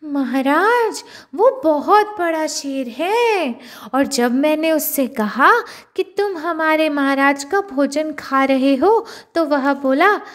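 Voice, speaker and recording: A woman's voice: sits high, lively intonation, takes it slowly, pitched above the performer's own voice. A woman voicing a small, clever male rabbit who pleads humbly in a children's story. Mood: slyly humble.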